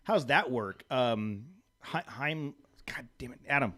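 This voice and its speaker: A man chatting loosely as a podcast co-host, rambling and restarting his sentences. His pitch sits in a middle range, and the talk stays relaxed and unscripted. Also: slow. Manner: rambling, restarts, swears casually. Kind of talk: casual chat.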